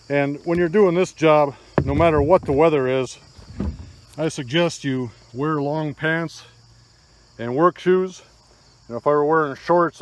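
A man's voice: fairly high pitch, slight background noise. Older man explaining outdoors among crickets. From Michigan, USA. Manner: plain, practical, matter-of-fact explaining. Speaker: DIY hunting vlogger. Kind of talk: casual how-to narration. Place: outdoors in a rural field. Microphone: phone camera held while walking. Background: crickets and insects.

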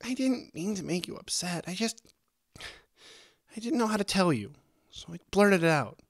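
A man voicing a timid young female bat pony, remorseful, nervous and halting, close to tears. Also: high voice, expressive, no room sound, pitched above the performer's own voice, heavily acted. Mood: tearful, apologetic.

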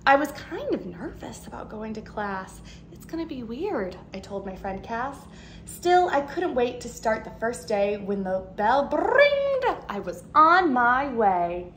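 A woman in her thirties, a teacher, reading a picture book aloud to young children in an expressive, animated way. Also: a little high, a little slow, slight background noise. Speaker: second grade teacher. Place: living room at home, indoors.

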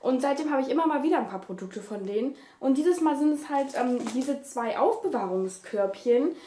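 A woman's voice: sits high, lively intonation, fairly quick, dark tone, a little hiss or hum. A woman in her twenties talking casually to the camera as a family vlogger. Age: 20s. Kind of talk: casual vlog talk to camera. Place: small furnished living room, indoors. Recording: echoey room sound.

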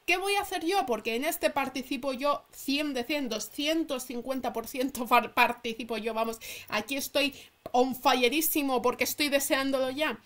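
High-pitched chatty woman from Spain. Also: moderate pace, a bit bright. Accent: Spanish from Spain. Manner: informal, emphatic, asks and answers own questions. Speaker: tarot reader and channel host. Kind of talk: casual chatty announcement to followers. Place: indoors at a table.

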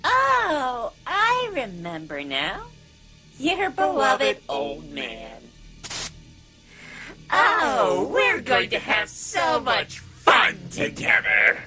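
A woman's voice: high voice, wildly swooping pitch, drawn-out words, sharp tone, faint music behind, exaggerated voice. A woman voicing a cute but sadistic talking flower in a cartoon, mock-sweet, gloating and theatrical. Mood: taunting. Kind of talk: animated fan dub acting.

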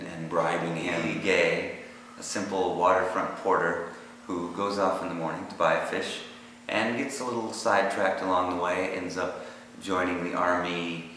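A man with a very deep voice speaks as a theatre director explaining a play. His words ring in a very echoey hall with long reverb, over light background noise. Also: a bit bright.

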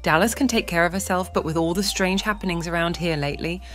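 Woman acting serious, lowish-voiced female leader. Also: fast talker, a bit bright, soft background music, close to an everyday voice. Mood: concerned. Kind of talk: scripted audio drama acting.

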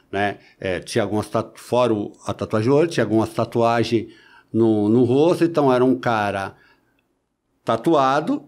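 A man in his forties with a Brazilian Portuguese accent and a medium-pitched voice tells his story casually. He speaks haltingly, doubling back on words and tagging his phrases as he goes. He is close on a studio microphone. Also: darkish tone. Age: 40s. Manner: halting, repeats words, peppered with "né" tags. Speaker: podcast guest, body-modification enthusiast. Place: small podcast studio. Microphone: close studio microphone on boom arm.

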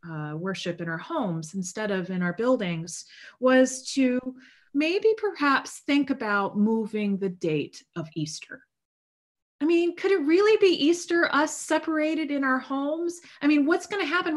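A woman in her thirties, a priest, preaching a sermon from home over a video call in a casual, earnest way, with lots of fillers and restarts. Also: medium pitch. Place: home room with bookshelves. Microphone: video call webcam.

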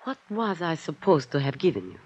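A coy, composed young femme fatale. Her voice is breathy and expressive, evasive in the 1950s crime drama way, and the old recording is muffled. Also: deep voice, fairly quick, full character voice. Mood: coy, defensive. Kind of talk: 1950s radio drama acting. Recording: dull old radio recording.

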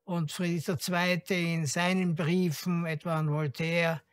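An expert giving measured explanatory commentary in an interview. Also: medium pitch, level tone, no echo.

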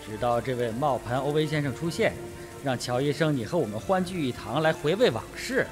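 A man's voice: medium pitch, expressive, fairly quick, music in the background, close to an everyday voice. A man playing a sardonic, self-possessed colonel in a Mandarin radio mystery, with a mocking, provocative manner. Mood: taunting. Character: sardonic, self-possessed colonel suspected of murder, needling the others.

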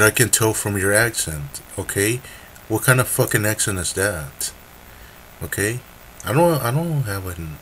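Young male creator, low voice, hesitant and full of restarts, desk webcam in a dark room. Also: slight background noise, low-quality recording. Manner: halting, many fillers and restarts. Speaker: video creator. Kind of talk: casual webcam announcement. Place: dim room indoors.

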